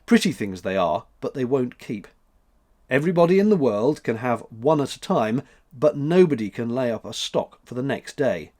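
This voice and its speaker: Man voicing a stern old Father Time, lively intonation. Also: mid-range voice, natural voice. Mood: matter-of-fact. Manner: measured, matter-of-fact, severe when crossed.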